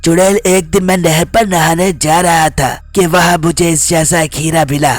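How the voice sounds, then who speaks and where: Woman playing bold young village lad, lowered pitch, insolent, bargaining, cartoon fairy tale, music behind. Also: steady tone, normal speed, close to an everyday voice. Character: cheeky, bold good-for-nothing young village man.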